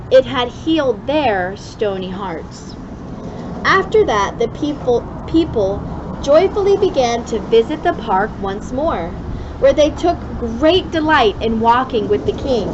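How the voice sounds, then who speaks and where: A woman reading a children's story aloud expressively, drawing out key words with deliberate emphasis. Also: fairly high pitch. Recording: dull lo-fi sound with clear background noise.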